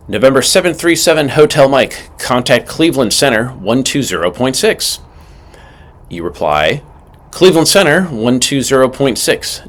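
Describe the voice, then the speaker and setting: A man giving scripted instructional narration as an aviation instructor, methodical and emphatic in his delivery. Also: mid-range voice. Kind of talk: scripted instructional narration with acted radio call examples.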